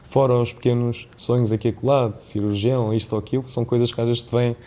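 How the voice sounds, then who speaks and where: A man with a European Portuguese accent talks in a relaxed, mellow voice at a medium pitch. He is a podcast guest, thinking aloud in a reflective, winding way and often adding small tag questions to check he is followed. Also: fast talker. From born in Mozambique, of Indian family background. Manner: discursive, often checks with "não é?". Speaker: podcast guest, business founder and CEO. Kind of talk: reflective conversational interview.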